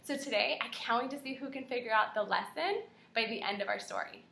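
A young woman teaching kindergarten, reading aloud and prompting children with animated, encouraging questions. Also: a little high, fairly quick, vocal fry. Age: young adult. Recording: echoey indoor room.

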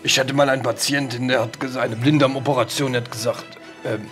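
A man's voice: medium pitch, fairly even intonation, fast talker, a bit bright, faint music behind, natural voice. A man voicing a pompous, eccentric French doctor in a horror audio drama, formal, hesitant and rambling. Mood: self-amused.

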